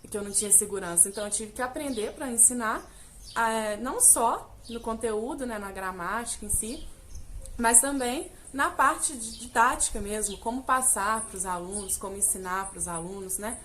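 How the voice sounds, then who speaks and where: Young woman from Brazil, chatty vlogger, fairly high voice, faint hiss behind. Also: normal speed, fairly bright tone. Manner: chatty, direct address with many fillers. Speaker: English teacher, vlogger. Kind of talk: casual personal vlog.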